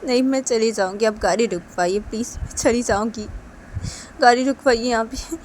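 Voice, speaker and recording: A woman's voice: on the high side, normal speed, a little hiss or hum, lightly acted. A woman voicing a young female employee who begs earnestly and tearfully, repeating please. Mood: insistent.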